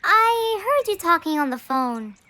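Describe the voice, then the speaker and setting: Eager cartoon little girl, very high bright voice, naive, insistent, animated, music behind. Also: a little slow, heavily acted. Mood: indignant, eager. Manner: pleading, insistent, innocent. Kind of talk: children's cartoon dubbing.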